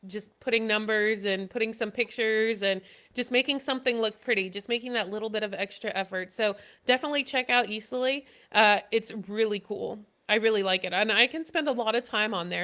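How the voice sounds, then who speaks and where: Nasal, bright-voiced woman chatting casually. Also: mid-range voice. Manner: loose and chatty, many "you know" and "um" fillers. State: nasal from allergies. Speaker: military service member presenting school tips. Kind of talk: impromptu live video talk.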